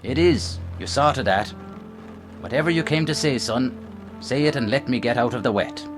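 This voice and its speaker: A man's voice: mid-range voice, normal speed, over music, close to an everyday voice. A man playing an older, defeated veteran policeman, bitter and terse, in a noir radio drama. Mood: bitter resignation.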